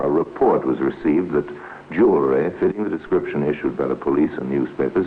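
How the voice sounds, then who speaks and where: A man narrating a 1950s radio crime drama in a grave, measured, deliberate manner. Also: very deep voice. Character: solemn storyteller narrating a police case. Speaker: narrator and host. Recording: tinny, noisy 1950s radio recording.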